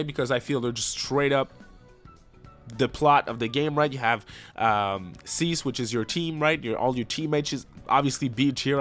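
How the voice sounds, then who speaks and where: A man's voice: mid-range voice, fairly bright tone. A man hosting a video, giving casual, opinionated commentary in a conversational way, repeating words for emphasis. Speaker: host of a video game ranking video.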